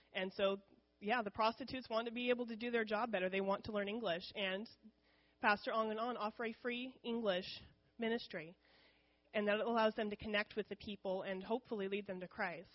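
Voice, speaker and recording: A woman speaks to her church congregation about missionary work in a frank, unpolished, conversational way, often pausing on "um." Her voice sits at a medium pitch. The recording is slightly dull and lo-fi. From United States. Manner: conversational, frequent ums, candid. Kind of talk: informal church missions presentation.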